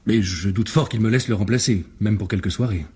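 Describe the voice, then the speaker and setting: An actor playing a young understudy in a radio mystery drama, proud, guarded and quick to take offence. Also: male voice, lowish voice, a little mellow, breathy edge. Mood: defensive, wary. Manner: guarded, indignant.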